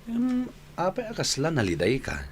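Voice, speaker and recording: Casting: teasing Ilocano workmate in radio drama, animated intonation, faint noise behind him. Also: male voice, on the high side, moderate pace. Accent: Northern Philippine Ilocano. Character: teasing, advice-giving male workmate and buddy.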